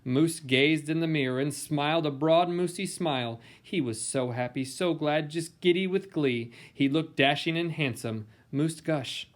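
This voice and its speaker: A man reading a children's picture book aloud in a playful, theatrical way with dramatic emphasis and pauses. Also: a little high. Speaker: storybook reader.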